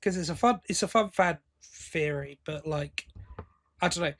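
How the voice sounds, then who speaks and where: A man's voice: fairly high pitch, normal speed, fairly bright tone. A man co-hosting a podcast, chatting casually and giving opinions with enthusiasm and frequent restarts. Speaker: podcast co-host. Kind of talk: casual chat reviewing audio drama.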